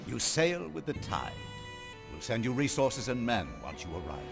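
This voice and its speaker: An actor playing an older knightly lord, learned, measured and authoritative, in a historical strategy game. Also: male voice, mid-range voice, big pitch swings, vocal fry, music in the background. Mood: commanding, confident.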